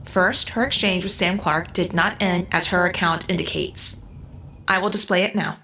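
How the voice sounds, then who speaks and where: A woman playing a polite, precise artificial intelligence in an audio drama, formal, measured and unemotional. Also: on the low side, steady tone, bright, forceful tone, slight background noise. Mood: clinical.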